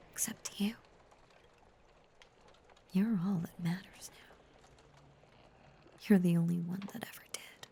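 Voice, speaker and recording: A woman playing a possessive, obsessively devoted female werewolf lover in an intimate roleplay audio, breathy and whispery, with lingering pauses. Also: fairly low pitch, warm tone, slight background noise. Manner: slow, lingering, intimate delivery with long pauses.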